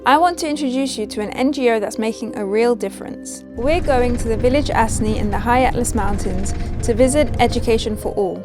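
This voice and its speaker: A woman host narrating a short documentary with clear, persuasive delivery. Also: a little high, fairly quick, few pauses. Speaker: travel vlogger and host. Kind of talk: scripted narration. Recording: music bed under the narration.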